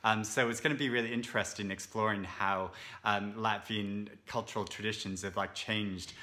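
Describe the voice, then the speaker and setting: Bright-voiced man rambling to camera. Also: on the low side, fairly even intonation, normal speed. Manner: rambling, many fillers and restarts. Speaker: book vlogger. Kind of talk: casual talk to camera. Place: indoors, seated on a sofa.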